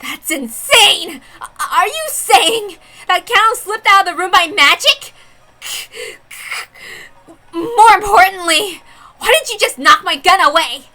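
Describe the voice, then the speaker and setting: Woman acting a bright, high-voiced, combative girl detective. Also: lively intonation, takes it slowly, long pauses, heavily acted. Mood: incredulous. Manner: theatrical, emphatic, defiant. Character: brash, combative young female detective, obsessive and theatrical. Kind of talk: dramatic reading of a visual novel.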